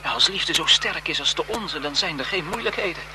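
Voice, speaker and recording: An actor playing a lovesick, desperate photographer in a melodramatic, impassioned radio thriller style. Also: male voice, a little high, animated, fairly quick, natural voice. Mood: earnest, devoted. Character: lovesick, desperate male photographer turning bitter and vengeful. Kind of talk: 1970s Dutch radio thriller acting. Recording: noisy 1970s radio drama broadcast.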